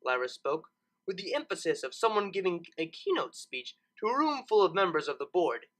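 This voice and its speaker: Female story narrator. Slightly low voice, animated melody, expressive scripted reading of fiction. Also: fairly quick.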